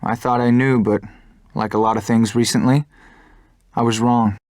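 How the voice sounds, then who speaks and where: A man's voice: medium pitch, steady tone, normal speed, a little mellow, no echo, close to an everyday voice. Brooding, principled young male fighter pilot played by a man, game drama, introspective, close dry sound. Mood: grieving resolve. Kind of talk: scripted game cutscene narration and dialogue.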